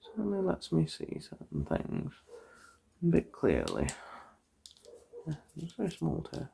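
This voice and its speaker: Soft, creaky-voiced slow ASMR murmur. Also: mid-range voice, huge pitch swings. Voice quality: soft, breathy, drifting into whisper. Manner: hesitant, murmuring, unhurried, reassuring. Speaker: ASMR performer playing an optician giving an eye exam. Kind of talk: ASMR roleplay, soft-spoken and whispered.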